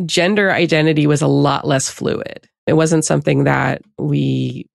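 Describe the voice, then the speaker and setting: A man's voice: a little high, fairly even intonation, a little slow, vocal fry, dry recording, studio quality. A man in his forties interviewing a guest in a casual, conversational way. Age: 40s. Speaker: podcast host, interviewer.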